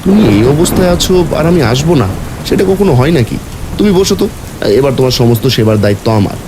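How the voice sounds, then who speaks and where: A man voicing an anxious, devoted young son in an animated horror story, speaking in an insistent, pleading, excitable way. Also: mid-range voice, a little fast, noisy background, voice pushed up, natural voice. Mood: caring, concerned.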